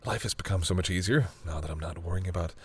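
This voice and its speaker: A man playing a flustered, tender and grudgingly fond vampire boyfriend in an intimate roleplay audio, hesitant and teasing, with a husky voice. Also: low-pitched, fast talker. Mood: shy, earnest. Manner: hesitant, easily embarrassed, teasing.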